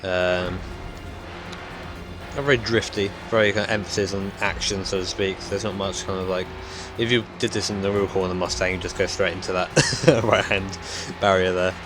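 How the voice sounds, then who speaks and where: A young man, chatting casually and hesitantly about a racing game he is playing, his voice croaky. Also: low voice, steady tone, normal speed, music in the background. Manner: rambling, hesitant, with many ums. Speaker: gaming YouTuber. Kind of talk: casual gameplay commentary.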